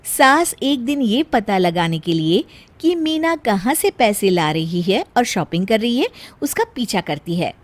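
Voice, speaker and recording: A woman's voice: mid-range voice, sing-song, measured pace. A woman storyteller reading scripted narration for a story.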